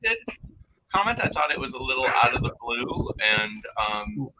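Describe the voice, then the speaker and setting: A man's voice: medium pitch, sing-song, moderate pace. A man chatting casually in a review, explaining his points and acting out mock quotes, over an online call. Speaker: guest reviewer. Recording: noisy, muffled, dull microphone sound.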